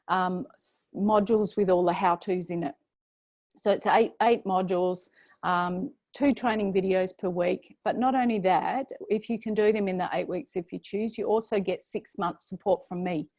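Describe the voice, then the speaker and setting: A woman with an Australian accent presenting a paid program in a chatty, filler-heavy way, her voice going slightly hoarse. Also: medium pitch, a little mellow, no echo. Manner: chatty, many fillers and restarts. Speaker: program host presenting an offer. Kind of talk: webinar sales pitch.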